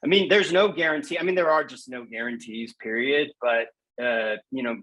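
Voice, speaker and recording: A man's voice: mid-range voice, moderate pace, pushed and bright, poor audio. A man speaking as a poet guest in a casual podcast interview, frank and emphatic, repeating phrases for stress.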